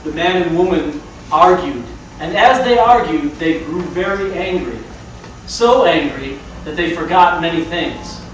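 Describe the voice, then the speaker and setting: A man's voice: on the high side, a little slow, distant mic, background noise, lightly acted. A man playing the storyteller of a folktale, giving scripted narration in a stage play. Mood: measured. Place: large room or hall, distant mic. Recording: echoey stage from afar, music behind.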